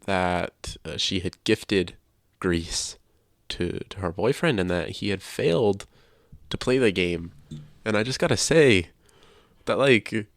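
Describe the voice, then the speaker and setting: Man, low creaky voice, dry close sound, casual podcast co-host, earnest and emphatic. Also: no echo.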